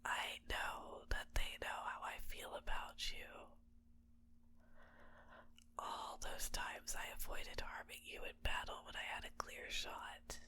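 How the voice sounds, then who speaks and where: A woman voicing a possessive, manipulative villainess in an intimate ASMR roleplay, soft-spoken and coaxing, teasing and sometimes whispering to a captive. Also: on the low side, normal speed, a little hiss or hum, close to an everyday voice. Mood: whispered, insinuating.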